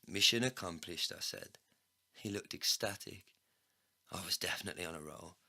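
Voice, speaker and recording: A man narrating a scripted first-person comedy story in an expressive, sweary storytelling manner. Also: low-pitched, normal speed, vocal fry, slightly rough, no echo. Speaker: narrator and lead character.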